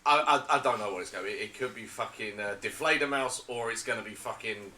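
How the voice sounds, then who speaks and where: A man plays a tabletop game, swearing casually and stumbling over his words. His voice is very bright and sharp, with a faint hiss in the background. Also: lowish voice, fast talker. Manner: profane, laughing, stammering. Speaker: player.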